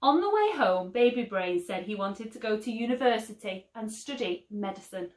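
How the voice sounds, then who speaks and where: A woman teacher reading a picture book aloud for children, slow and expressive. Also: mid-range voice. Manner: slow, expressive, lively storytelling. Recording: roomy echo.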